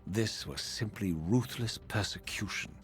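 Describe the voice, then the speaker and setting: A man's voice: fairly low pitch, a little slow, a bit bright, faint music behind. A man playing a tormented, vengeful wraith in a video game, speaking in formal, archaic, solemn phrases. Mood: brooding, solemn. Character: tormented, vengeful male wraith, eloquent and grimly resolute. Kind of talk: dramatic scripted video game narration and dialogue.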